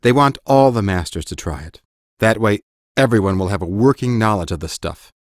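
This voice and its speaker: A man playing a methodical mage teacher in an audiobook drama, instructing with measured authority. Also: fairly low pitch, animated, no echo, studio quality, close to an everyday voice. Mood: instructive.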